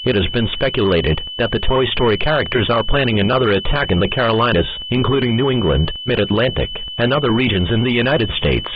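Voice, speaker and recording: A synthetic male voice of middling pitch reads a scripted emergency alert. It sounds like a dull, lo-fi radio broadcast, buried under very loud hum and noise. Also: animated, quick pace, few pauses.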